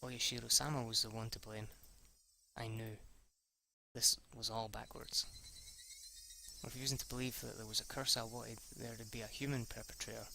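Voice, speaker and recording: Male let's-play reader, forceful and creaky, stumbling narration with sweary asides, faint noise and insect-like ambience. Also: medium pitch, little pitch movement. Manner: halting, stumbles over words, sweary asides. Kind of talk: reading visual novel narration aloud.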